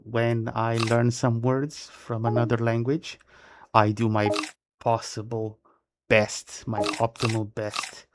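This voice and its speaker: A man chatting casually while live-streaming a game, in English that is not his first language, since his native tongue is close to Italian. His pitch sits in an ordinary middle range, and he loops back over his own words. A steady hiss of noise and clicking sits under the voice. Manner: chatty, repeats and rephrases himself.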